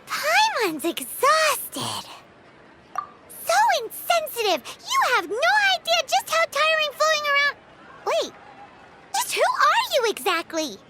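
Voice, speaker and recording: A woman voicing a tiny childlike fairy companion in a video game, squeaky, blunt and suspicious, with exaggerated, indignant delivery. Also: wildly swooping pitch, takes it slowly, background noise, full character voice. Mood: whiny, indignant. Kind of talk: English video game voice acting. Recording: loud game music behind.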